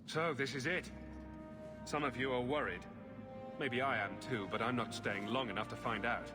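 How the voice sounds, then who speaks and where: An actor playing a roguish, wry and defiant fighter in a fantasy video game. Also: male voice, mid-range voice, fairly even intonation, normal speed, over music, close to an everyday voice. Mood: defiant rallying. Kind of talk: video game cutscene acting.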